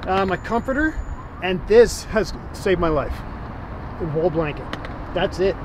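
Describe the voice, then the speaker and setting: A man with a fairly high voice talks loosely from inside his parked minivan. He rambles, restarts his sentences and keeps tagging on "right?". Traffic and street noise carry clearly in the background. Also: lively intonation, unhurried. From Canada. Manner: rambling, filler-heavy, tags sentences with "right?". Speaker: interviewee. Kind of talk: casual chat. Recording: vehicle and street noise behind. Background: outdoor traffic ambience.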